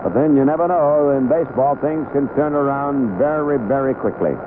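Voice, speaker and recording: A man giving unhurried, measured live play-by-play of a baseball game as a radio announcer. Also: medium pitch. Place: ballpark broadcast booth. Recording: noisy narrowband 1970s AM radio broadcast.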